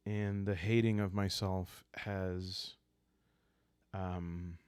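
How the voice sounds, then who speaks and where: A man speaking softly and calmly, improvising a story for a solo roleplaying game and thinking aloud hesitantly. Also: low voice, smooth, dry recording, clean studio sound. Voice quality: soft, calm. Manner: hesitant, thinking aloud with long pauses and sighs. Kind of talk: calm unscripted storytelling for sleep, journaling game playthrough.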